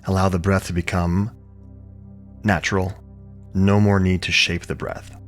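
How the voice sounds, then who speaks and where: A man with a low voice leads a guided yoga meditation. He is calm and soothing, giving gentle instructions in measured phrases with space between them. Faint music plays behind his voice. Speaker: yoga and meditation teacher leading a guided practice.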